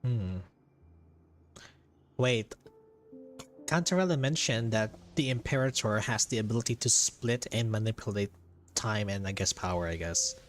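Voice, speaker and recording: A man's voice: fairly low pitch, moderate pace, long pauses, soft background music. A man with a Filipino accent, a native Tagalog speaker, giving casual, joking and somewhat hesitant game commentary as a streamer. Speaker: streamer playing and reacting to a game.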